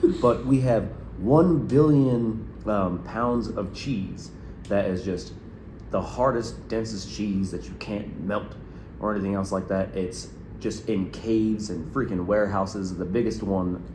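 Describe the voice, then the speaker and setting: A man's voice: lowish voice, unhurried, echoey room, noisy background. A man in his early thirties, co-hosting a casual podcast chat, rambling with lots of fillers. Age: early 30s. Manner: rambling, many fillers and restarts. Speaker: podcast co-host.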